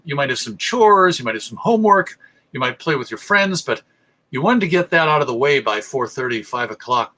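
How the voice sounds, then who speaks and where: A man's voice: mid-range voice, sharp tone. A middle-aged American man giving a history lecture as presenter, articulate, with storytelling emphasis. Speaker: presenter, radio show host.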